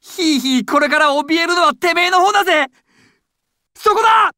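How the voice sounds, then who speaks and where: Young male anime gangster: high-pitched, jittery, stammering, servile but explosive, dry close recording. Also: animated, moderate pace, sharp tone, no echo, heavily acted. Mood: furious, frantic. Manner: stammering, swings from timid to explosive. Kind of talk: anime and game dramatic acting.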